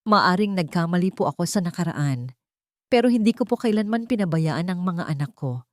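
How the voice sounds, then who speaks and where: A woman's voice: lowish voice, expressive, fairly quick, no room sound, clean studio sound, close to an everyday voice. A woman voicing a wronged single mother who pleads earnestly and politely but stands firm in a drama story. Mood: pleading, hurt.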